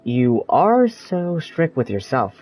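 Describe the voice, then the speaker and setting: Someone reading game dialogue aloud in a hesitant, questioning, gently concerned way. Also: mid-range voice, sing-song, slow pace.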